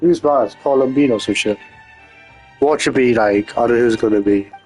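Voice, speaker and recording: A man's voice: medium pitch, moderate pace, a little mellow, faint music behind. A man giving casual, off-the-cuff commentary as he plays a video game. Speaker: gamer streaming a playthrough.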